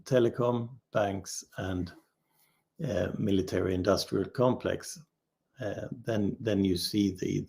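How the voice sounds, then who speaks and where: A man with a gravelly, mellow voice in a relaxed livestream conversation. He talks very slowly and hesitantly, pausing often as he pieces his thoughts together. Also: on the low side. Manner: hesitant, halting, drawn-out phrasing with long pauses. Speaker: livestream guest. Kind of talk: casual unscripted discussion.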